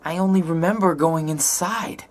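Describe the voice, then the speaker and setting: A woman's voice: fairly low pitch, normal speed, a little mellow, lightly acted. A woman voicing an earnest, loyal and impulsive teenage boy in a video game, with urgent, pleading delivery. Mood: dazed.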